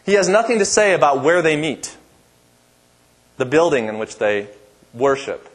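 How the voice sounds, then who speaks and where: A man's voice: a little high, very animated, normal speed, low-quality recording. Man preaching with a powerful voice. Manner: emphatic, repetitive preaching cadence. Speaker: pastor. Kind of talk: sermon. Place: church.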